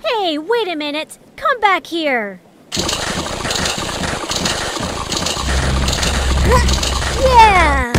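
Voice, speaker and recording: A woman's voice: very high-pitched, very animated, takes it slowly, very noisy, heavily acted. A woman playing an abrasive, sarcastic female adventurer in an audio drama, with a biting manner. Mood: blunt, bossy.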